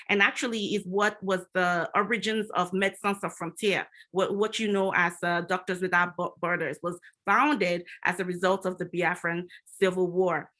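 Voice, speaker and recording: A woman's voice: on the low side, a bit bright. A middle-aged woman from Nigeria, a physician speaking on a conference panel, talking reflectively with frequent restarts and fillers.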